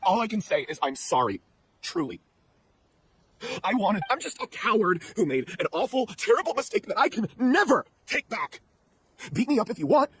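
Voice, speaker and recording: Animated, guilt-ridden man. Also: high voice, normal speed, a little hiss or hum, full character voice, rough recording. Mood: anguished remorse. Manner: earnest, hesitant confessing. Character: guilt-ridden, cowardly male theatre troupe member. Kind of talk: dramatic video game acting.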